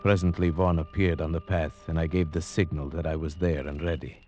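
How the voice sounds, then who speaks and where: A man acting an earnest, haunted narrator-hero in a vintage radio suspense drama, with measured, grave, theatrical delivery. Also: a bass voice, little pitch movement, mellow, muffled recording, soft background music. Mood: grave, suspenseful. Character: earnest male narrator-hero haunted by dread, foreign gentleman.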